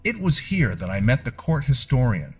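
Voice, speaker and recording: A man's voice: medium pitch, very animated, normal speed, slightly rough. A man narrating a first-person story from a script, with formal, genteel storyteller phrasing. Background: music.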